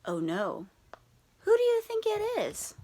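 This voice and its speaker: A woman teacher reading a children's picture book aloud, telling the story in an animated, expressive way and asking the children questions. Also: extremely high pitch, unhurried.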